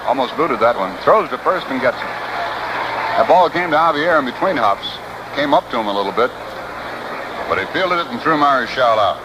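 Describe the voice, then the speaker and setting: A man's voice: mid-range voice, fairly quick. A man with an American accent calling baseball play-by-play as live radio sports commentary on a 1960s broadcast. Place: outdoor ballpark broadcast booth. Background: steady noise and outdoor ambience.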